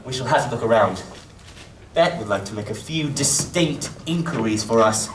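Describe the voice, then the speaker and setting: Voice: mid-range voice, moderate pace, close to an everyday voice. An actor playing an avaricious, scheming old crime boss in a radio play on stage. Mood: sly, menacing. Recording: echoey stage from far off, faint noise.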